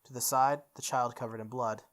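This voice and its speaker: A man's voice: mid-range voice, fairly even intonation, brisk, a bit bright. A man hosting a playthrough, reading a visual novel aloud expressively, with casual asides and the odd stumble. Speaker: let's play host.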